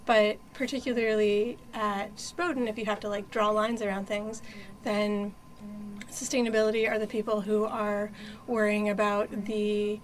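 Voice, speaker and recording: A woman from New Hampshire in her thirties, giving reflective interview answers as a guest, pausing and restarting often, with dry self-deprecating humor. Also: mid-range voice, little pitch movement, slight background noise. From New Hampshire, USA. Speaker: sustainability outreach coordinator, guest.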